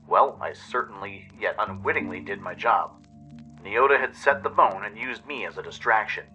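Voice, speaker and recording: A man's voice: lowish voice, moderate pace, bright, forceful tone, muffled recording, soft background music. A man reading scripted first-person story narration for a radio play.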